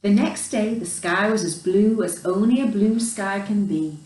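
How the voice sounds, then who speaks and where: A woman reading a children's picture book expressively, in an animated storyteller's manner with chatty asides. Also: mid-range voice, unhurried. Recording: roomy echo, distant microphone.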